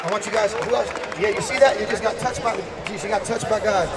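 A man in his thirties preaching and praying forcefully in a revival style, with repeated exhorting calls. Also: high voice, steady tone, a little fast, vocal fry, distant mic. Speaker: street preacher. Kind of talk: fervent revival preaching and prayer. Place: large busy indoor shopping mall. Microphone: handheld microphone. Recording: handheld mic in loud crowded public hall. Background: loud crowd.

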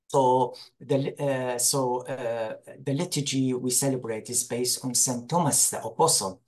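A man's voice: medium pitch, fairly even intonation, a little slow, darkish tone. A man in his forties from Iraq with an Iraqi accent, talking informally as an interview guest on a video call, often searching for words. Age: 40s. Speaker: guest, religious teacher. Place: small room indoors, on a video call.

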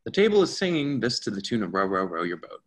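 A man's voice: lowish voice, big pitch swings, fast. A man reading stage directions as scripted narration for a play reading on a video call. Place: indoors at home, on a video call.

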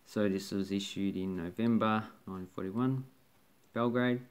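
A man's voice: on the low side, fairly even intonation, moderate pace, smooth. A man casually talking through banknotes from his collection, rambling with fillers and restarts. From Australia. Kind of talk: casual show-and-tell commentary.

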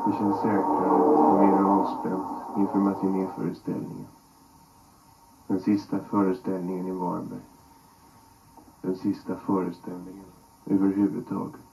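A man reading a reflective scripted narration in measured, literary phrasing. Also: low-pitched, monotone, vocal fry, mellow. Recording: dull, noisy voice track.

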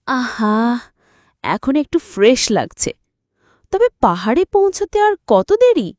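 A woman's voice: a little high, big pitch swings, takes it slowly, darkish tone. A woman voicing a lazy, timid, imaginative rabbit in a children's cartoon, with a whiny, playful manner. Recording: roomy echo with faint music.

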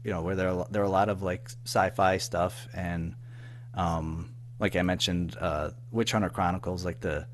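Low-pitched man, halting casual talker. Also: steady tone. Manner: halting, repeats words and trails off. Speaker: audio drama writer-producer, interview guest. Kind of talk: casual interview chat. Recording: faint background noise and hum.

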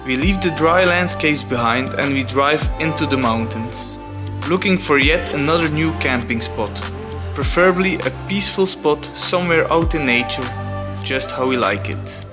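A man's voice: fairly high pitch, normal speed. Man narrating a travel voice-over. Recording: voice-over with music behind.

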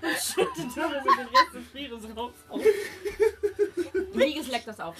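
A woman's voice: high voice, huge pitch swings, unhurried, over a noisy crowd. A woman narrating a tabletop game as game master, improvising as she goes. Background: music.

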